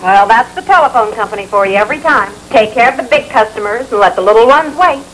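Indignant high-pitched American housewife, played by a woman. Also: fast talker, close to an everyday voice. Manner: haughty, scolding, emphatic. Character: indignant, sharp-tongued, fussy housewife customer. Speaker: actor in a training film. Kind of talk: 1960s training film acting. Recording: noisy old film soundtrack.

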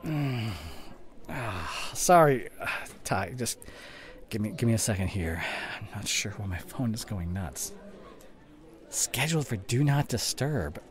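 Young male boyfriend played by a male actor for audio roleplay: forgetful, dismissive gamer, slangy and coaxing, unhurried delivery with lively pitch swings. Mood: distracted, puzzled. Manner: casual, slangy, coaxing, drawn-out phrasing.

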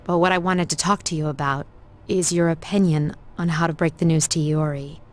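A woman voicing a composed, formal young student council leader in a game, speaking in a serious, measured way. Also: deep voice, steady tone, close to an everyday voice. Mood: grave, formal. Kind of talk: scripted game voice acting.

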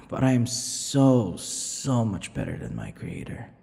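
An obsessive, sadistic male vampire lover for an audio roleplay. The voice is very gravelly and slow, sliding into whispers and swinging wildly in pitch. He sounds vain and possessive, with long reverb around every word. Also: huge pitch swings, dark tone, heavily acted. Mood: possessive, proud. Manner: slow, breathy whispers and giggling, drawn-out words. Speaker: roleplay voice actor. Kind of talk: intimate ASMR boyfriend roleplay.

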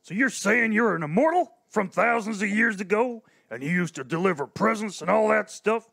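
A man voicing a gruff, folksy space cowboy with a drawl in a live radio play reading. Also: sits high, lively intonation, moderate pace, a bit bright, exaggerated voice. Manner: folksy drawl, says pards and shoot. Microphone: headset.

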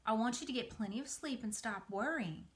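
A woman's voice: fairly high pitch, brisk, natural voice. A woman voicing a wise female spider from a storybook, comforting and motherly, giving firm, reassuring instruction.

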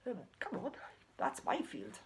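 A woman's voice: a little high, huge pitch swings, unhurried, background noise, voice pushed up. A woman voicing a placid, agreeable grass-munching cow in a puppet story, speaking simply. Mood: welcoming.

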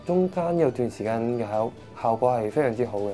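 A man's voice: medium pitch, animated, brisk, warm tone, soft background music. A man, a patient giving a candid personal testimony in short bursts. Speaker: patient in a support program.

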